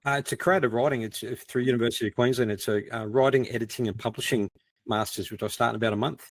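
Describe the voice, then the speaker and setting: A man's voice: mid-range voice, steady tone, fast, no room sound. A man in a casual panel discussion, explaining things and thinking aloud with plenty of "you know". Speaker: guest, crime fiction writer.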